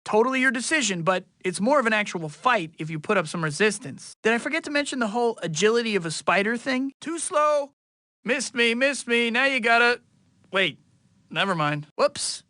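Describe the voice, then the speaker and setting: A young American male superhero for a video game. His voice sits high and forward with a crisp, bright edge, recorded very dry. He tosses out cocky, friendly wisecracks in a boyish, conversational way. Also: animated, a little fast, dry recording. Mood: teasing, cocky. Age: young adult. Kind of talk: video game voice lines, short quips.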